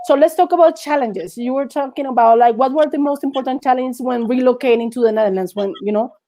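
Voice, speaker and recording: A woman's voice: high-pitched, fast, mellow. Chatty woman hosting a video call. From Colombia. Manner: chatty and laughing, with many fillers. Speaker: host. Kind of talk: casual interview on a live stream. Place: small room indoors. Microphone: earphone mic on a video call.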